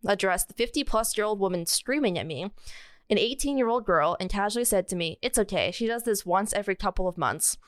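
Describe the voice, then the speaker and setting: Clear-voiced young American woman, podcast co-host. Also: mid-range voice, lively intonation, a little fast, dry recording. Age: young adult. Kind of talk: casual chat and reading out listener stories.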